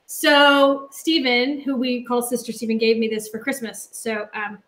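A woman's voice: fairly high pitch, a little slow, a bit bright, echoey room, a little hiss or hum. A middle-aged woman cooking in her home kitchen and chatting on a video call, rambling casually with lots of ums. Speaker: guest cook. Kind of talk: casual cooking demo chat. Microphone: laptop webcam on a video call.

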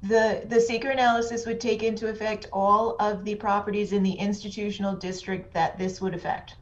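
Woman explaining rules at a town meeting, low flat voice, muffled call audio. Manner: measured, explanatory. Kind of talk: explaining procedure at a public meeting. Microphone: video call. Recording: dull lo-fi video call audio.

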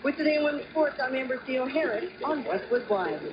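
A woman's voice: high voice, animated, brisk. A woman reading a scripted sports report as a radio reporter. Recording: dull, noisy AM radio reception.

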